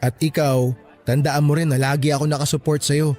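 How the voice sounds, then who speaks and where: A man acting an encouraging, teasing young college graduate with an earnest, animated delivery in a radio drama. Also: fairly high pitch, fairly quick, lightly acted. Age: young adult.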